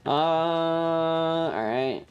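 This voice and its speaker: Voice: mid-range voice, drawn-out words, smooth, highs cut off. A streamer giving casual, sarcastic game commentary to chat, playfully crude and full of swearing. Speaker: streamer, host. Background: faint game music.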